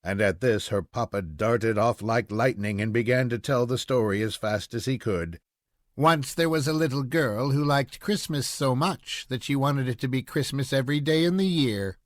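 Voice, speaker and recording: Male narrator, medium pitch, expressive storytelling, scripted storybook reading, dry room-free sound. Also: normal speed, dry recording. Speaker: audiobook reader.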